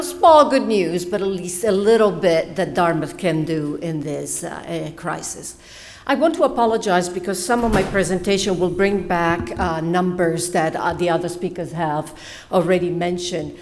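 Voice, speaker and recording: Middle-aged woman panelist, halting and emphatic, lowish voice, podium mic. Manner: hesitant, many fillers and restarts, emphatic on key words. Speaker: panel speaker, faculty member. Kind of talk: academic panel talk with slides. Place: lecture hall at a podium. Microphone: podium microphone.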